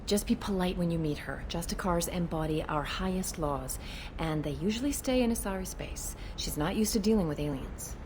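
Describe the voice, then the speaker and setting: Actress as wary female alien cop for a sci-fi game. Frank, a bit exasperated, over noisy rumble. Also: expressive, normal speed, close to an everyday voice. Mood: frank, cautious. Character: wary, plain-spoken female alien police officer. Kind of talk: video game acting.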